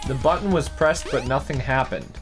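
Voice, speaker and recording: A man's voice: mid-range voice, normal speed, loud music behind the voice. A man co-hosting a let's play, talking casually about the game. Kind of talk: casual gameplay chat.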